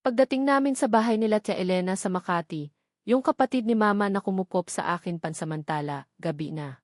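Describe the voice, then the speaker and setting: A woman with a Filipino accent reading a first-person dramatic love story in an emotive way, with short deliberate phrases. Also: medium pitch, no echo, clean studio sound. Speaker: story narrator.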